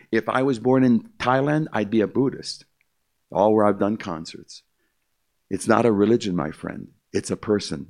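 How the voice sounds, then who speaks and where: A man's voice: medium pitch, darkish tone. An older man giving an emphatic, repetitive testimony speech to a live audience, calling his listeners "my friend.". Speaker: concert pianist giving testimony.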